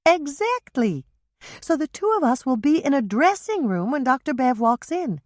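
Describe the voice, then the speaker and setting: A woman reading an audiobook and voicing an eager younger sister who asks excited questions. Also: sits high, normal speed, no room sound, studio quality, voice pushed up.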